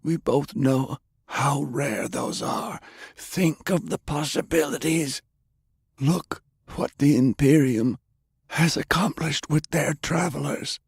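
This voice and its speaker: A man performs a ruthless old war leader in an audiobook. The voice is strained and husky, lifted in pitch, with heavy gravel. Each phrase comes slowly and with defiant emphasis. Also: no room sound, clean studio sound, exaggerated voice. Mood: determined. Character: Pershing, ailing old male war leader, stern and ruthless. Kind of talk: audiobook character acting.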